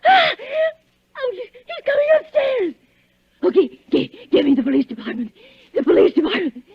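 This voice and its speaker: A woman's voice: very high voice, huge pitch swings, moderate pace, no room sound, full character voice. A woman playing a frantic, demanding invalid wife in 1940s radio suspense style, stammering, imperious and breathlessly nervous. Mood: desperate, panicked. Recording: dull, dry vintage radio recording.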